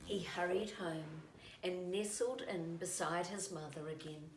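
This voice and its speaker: A woman reading a children's story aloud in an expressive, deliberate way. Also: lowish voice. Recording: roomy, distant mic, faint noise.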